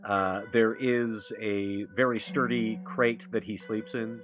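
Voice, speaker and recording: A man playing a tabletop roleplay, describing things in a halting, detailed way with many pauses. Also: on the low side, fairly even intonation, highs cut off, soft background music. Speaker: player.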